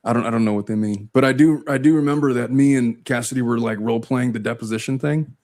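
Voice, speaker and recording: A man's voice: mid-range voice, steady tone, fast, a little mellow, no room sound. A man who works as a lawyer, chatting casually and joking on a livestream. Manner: joking, laughs often. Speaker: guest lawyer.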